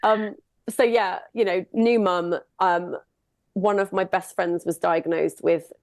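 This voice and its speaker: A woman's voice: on the low side, steady tone, slow pace, darkish tone, no echo. A middle-aged woman from the UK, a singer and sound healer, talking casually and upbeat in an interview. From United Kingdom. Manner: upbeat, chatty, laughs often.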